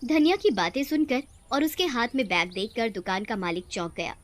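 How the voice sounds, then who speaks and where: A woman's voice: fairly high pitch, expressive, a little fast. A woman narrating a scripted moral story as a storyteller.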